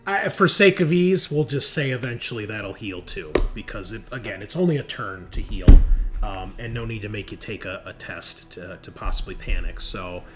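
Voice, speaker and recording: A man running a tabletop game as game master, casual and easygoing, thinking aloud as he narrates and makes rulings. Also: lowish voice.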